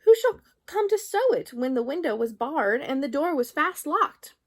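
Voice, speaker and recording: A woman's voice: sits high, very animated, moderate pace. A woman voicing a poor, despairing old tailor in a storybook, lamenting in old-fashioned exclamations. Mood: feverish lament.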